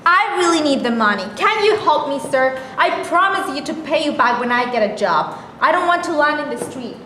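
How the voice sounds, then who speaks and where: A woman's voice: sits high, very animated, normal speed, pushed and bright, exaggerated voice. A woman playing a naive, anxious, jobless young woman in an amateur staged play reading, earnest and plaintive. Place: echoey room, staged reading. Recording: echoey room, clear background noise.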